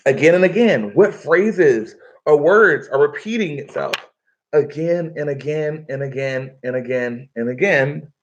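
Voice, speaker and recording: A man's voice: fairly high pitch, expressive, a little slow. A man teaching a live online reading lesson from his living room, speaking instructively and often checking in with his pupils. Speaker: schoolteacher. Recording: dull, lo-fi livestream audio.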